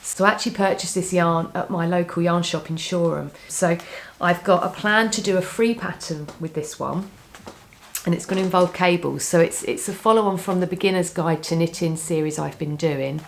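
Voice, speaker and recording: A woman with a cold, sounding a little croaky, chatting casually to camera about her knitting plans, rambling with frequent restarts. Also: on the low side, normal speed, slight background noise. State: has a cold, slightly croaky. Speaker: knitting vlogger.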